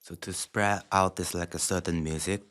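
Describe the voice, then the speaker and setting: A man hosting a casual interview, quiet and encouraging, giving many short affirmations. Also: deep voice, fairly even intonation, normal speed. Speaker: host.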